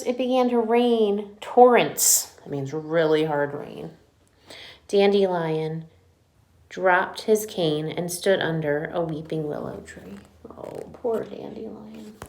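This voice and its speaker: A woman reads a picture book to children in an animated teacherly style, dropping in chatty asides. Her voice is mid-pitched and echoes in the room. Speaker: teacher reading aloud to children. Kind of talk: expressive picture-book read-aloud.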